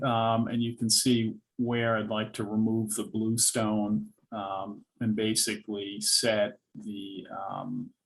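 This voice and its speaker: Man, slow-paced, creaky voice, hesitant, halting, informal plan presentation at a meeting. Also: lowish voice, fairly bright tone, rough recording. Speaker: presenter of building plans at a review meeting. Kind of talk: informal presentation with questions and answers. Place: public board meeting.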